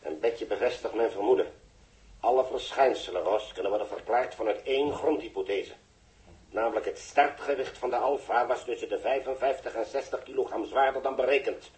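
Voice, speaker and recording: A man acting a grave, authoritative chief engineer with a Dutch accent, speaking deliberately in a 1960s radio drama. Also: mid-range voice, darkish tone, natural voice, rough recording. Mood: ominous, resolute. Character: grave, measured male chief engineer at mission base.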